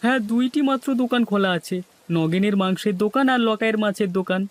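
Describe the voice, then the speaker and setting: Man putting on a very high-pitched grumbling villager. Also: a little fast, natural voice. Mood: chatty. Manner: complaining. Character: grumbling male villager shopping at the market. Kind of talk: cartoon dialogue.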